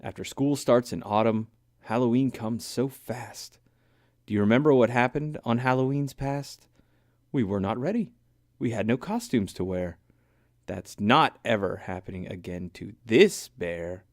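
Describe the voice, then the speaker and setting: A man's voice: mid-range voice, a little slow, dry recording, clean studio sound, close to an everyday voice. A man voicing a decisive, enthusiastic young girl bear cub in an earnest children's storybook reading. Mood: determined.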